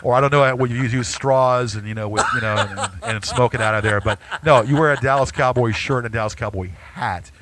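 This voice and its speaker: A man's voice: mid-range voice, fairly quick, few pauses, fairly bright tone. A man hosting a talk show, teasing his co-hosts and repeating his phrases. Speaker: TV show host. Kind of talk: casual banter.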